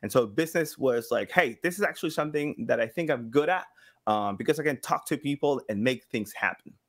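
A man's voice: medium pitch, animated, fairly quick, fairly bright tone. Male podcast guest, thirties, from South America; mid-range voice, casual anecdotal delivery with acted-out quotes. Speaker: podcast guest, sound designer. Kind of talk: casual interview storytelling. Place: small home room, video call. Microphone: boom-arm microphone with headset.

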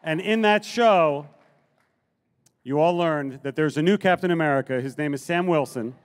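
High-pitched man presenting on stage. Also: normal speed. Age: middle-aged. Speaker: presenter at a fan convention. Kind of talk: stage announcements introducing guests. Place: large convention hall stage. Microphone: handheld microphone. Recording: live stage PA with music.

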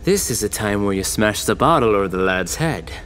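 A man playing a gruff, sardonic homicide detective in an audio drama, blunt and using slang. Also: fairly low pitch, expressive, fairly quick, a bit bright, slight background noise.

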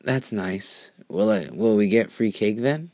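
A man's voice: on the low side, lively intonation, unhurried, mellow, no room sound. A man voicing an easygoing, cheeky female unicorn in a casual, breezy way for a story reading. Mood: eager, casual.

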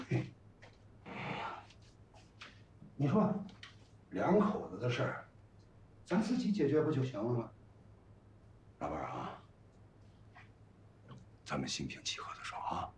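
A man's voice: medium pitch, warm tone, slight background noise, natural voice. An older man acting in a TV drama as an exasperated, stubborn elderly husband who grumbles and repeats himself. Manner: grumbling, repetitive, pleading. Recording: echoey room, faint background music.

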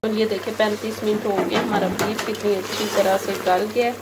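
Woman host, even and steady recipe walkthrough, recorded on a camera mic with kitchen noise behind. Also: medium pitch. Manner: plain, measured listing of ingredients. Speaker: cooking channel host. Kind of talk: step-by-step recipe instruction. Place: home kitchen. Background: frying and stirring sounds.

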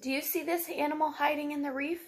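A woman's voice: high voice, fairly even intonation, quick pace, noisy background. A woman, reading a picture book aloud like a teacher, explaining simply to young children and asking them questions. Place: small room at home, indoors.

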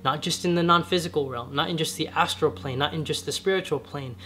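Young man with a slightly raspy voice. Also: fairly high pitch, a little fast, bright, forceful tone. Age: young adult. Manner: earnest, gesturing with his hands while sitting on the floor. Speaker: vlogger. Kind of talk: casual spiritual vlog talk. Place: home garage, medium-sized, indoors.

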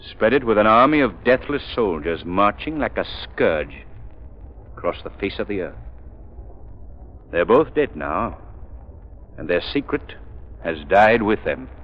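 An actor playing a composed, grave gentleman detective in a 1930s radio drama. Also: fairly low pitch. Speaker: lead actor in radio mystery serial. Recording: muffled 1930s radio recording.

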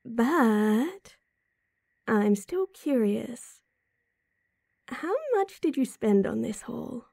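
A woman's voice: on the high side, expressive, drawn-out words, pauses often, mellow, no room sound, studio quality, heavily acted. A woman playing a sweet, supportive, teasing girlfriend in an intimate roleplay audio drama, talking affectionately to the listener. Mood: impressed, excited. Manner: affectionate, teasing, breathy chuckles and sighs. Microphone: close mic, dry.

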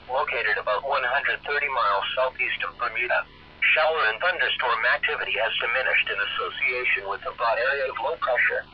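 A synthetic male voice reading an automated weather forecast in a stilted, evenly measured way, as a weather radio announcer. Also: mid-range voice. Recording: muffled lo-fi radio with clear noise.

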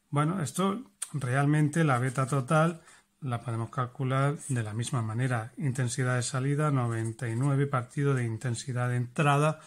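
A man teaching an electronics lesson. He explains each step as he works and keeps checking in with his listeners. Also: mid-range voice. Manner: explanatory, checks in with frequent "vale?". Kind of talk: hands-on lesson demonstrating and calculating.

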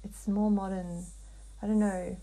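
A woman describing a trance vision in a dreamlike way as a guided hypnosis client, halting and hushed, with long pauses. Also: mid-range voice, flat delivery, poor audio.

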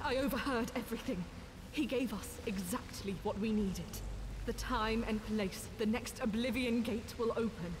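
A woman's voice: on the high side, normal speed, in a large hall, background noise, close to an everyday voice. A woman voicing a determined, scholarly vigilant in a fantasy game, speaking in an earnest, formal and articulate way. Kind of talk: fantasy video game voice acting.

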